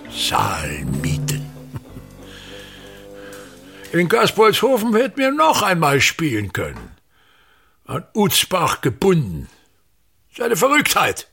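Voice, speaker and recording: An actor playing a pompous, tyrannical older theatre manager in a radio drama, declaiming scornfully in a gravelly, husky voice. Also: male voice, a little high, a bit bright, exaggerated voice. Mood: grumbling. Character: pompous, tyrannical ageing male theatre actor-manager berating his son.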